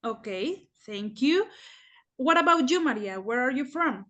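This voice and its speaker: Slow high-voiced woman examiner. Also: lively intonation, bright, forceful tone, highs cut off. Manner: slow, measured, guiding. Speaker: oral exam examiner. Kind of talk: structured exam questions.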